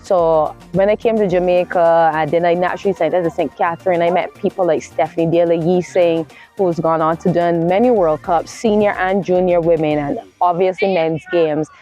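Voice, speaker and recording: A woman's voice: lowish voice, steady tone, moderate pace, warm tone, soft background music. A woman from Barbados with a Caribbean accent, telling her story casually as an interview guest and often correcting herself as she goes.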